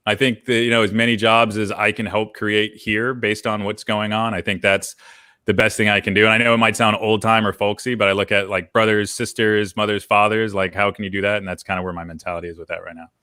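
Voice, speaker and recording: American guy in his 30s, podcast host, lowish voice but bright and punchy, super dry close mic. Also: steady tone, brisk, smooth, no room sound. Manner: informal, anecdotal storytelling. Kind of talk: casual chat. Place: indoor room with brick wall. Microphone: close desk microphone on a video call.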